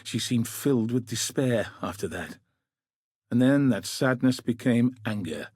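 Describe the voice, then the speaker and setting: An actor playing a weary, battle-scarred veteran commander in a video game, speaking in a measured, somber, dry and plain-spoken way with a husky, rough edge. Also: male voice, mid-range voice, lively intonation, a little mellow, no room sound. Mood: guarded, grim. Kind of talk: video game character dialogue.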